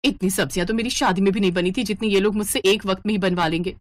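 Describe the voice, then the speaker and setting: A woman's voice: medium pitch, steady tone, fast talker, a little hiss or hum, lightly acted. A woman voicing a young, overworked daughter-in-law who mutters with exasperation and self-pity in a dramatic story style. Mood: exasperated.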